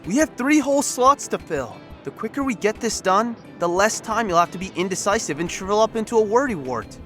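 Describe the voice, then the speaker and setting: An actor playing a cocky, playful young space biker and best friend in an audio drama, brash, teasing and theatrical. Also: male voice, high voice, lively intonation, a little fast, bright, forceful tone, soft background music. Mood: impatient.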